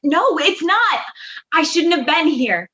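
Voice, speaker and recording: Flustered, defensive female space officer, acted by a woman. High, tense, curt delivery for radio comedy. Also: sing-song, takes it slowly, sharp tone, exaggerated voice. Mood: agitated, evasive. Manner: agitated, curt, evasive. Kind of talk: sci-fi comedy radio acting. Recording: dull lo-fi audio, some noise.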